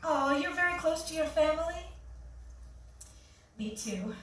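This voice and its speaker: An actress as high-voiced, friendly nurse, echoey theatre. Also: female voice, expressive, unhurried. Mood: tender, earnest. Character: friendly, bookish, forward young woman nurse on a date. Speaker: actor in a staged reading. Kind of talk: staged reading of a one-act play. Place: theatre stage, recorded from the audience. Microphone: far camera. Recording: echoey theatre, distant camera, faint noise.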